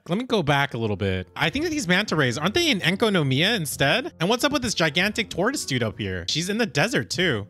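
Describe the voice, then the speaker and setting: Animated bright-voiced man reacting. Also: on the high side. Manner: animated, gushing, quick exclamations. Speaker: streamer reacting to a game trailer. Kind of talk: excited reaction commentary. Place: home streaming room with colored lights. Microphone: studio mic on boom arm, headset. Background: game trailer music.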